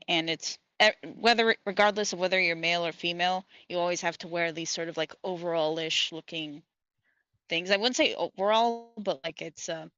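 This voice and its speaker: Hesitant caller on a dull line. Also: male voice, sits high, pushed and bright, dry recording. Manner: hesitant, searching for words. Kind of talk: casual call-in chat.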